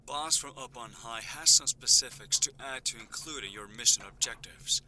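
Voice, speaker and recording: A man's voice: medium pitch, normal speed, bright, forceful tone, slight background noise. Hard-edged, menacing syndicate henchman. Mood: stern, businesslike. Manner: stern, condescending, threatening. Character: curt, menacing male crime syndicate henchman. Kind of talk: audio drama acting.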